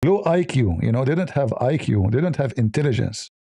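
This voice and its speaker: Mellow-voiced, combative non-native male commentator. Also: medium pitch, animated, brisk, gravelly, no room sound. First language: not English. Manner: combative, repeats rhetorical questions. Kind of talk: informal religious and political commentary.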